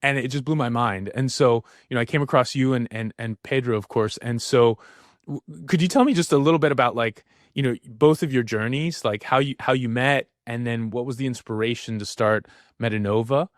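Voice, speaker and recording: A man's voice: mid-range voice, fairly quick, no echo, studio quality. Rambling enthusiastic male podcast host. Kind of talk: casual interview chat.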